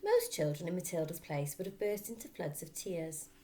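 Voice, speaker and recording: A woman reading a children's story aloud as a teacher would, in an expressive narrating style. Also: lowish voice, moderate pace.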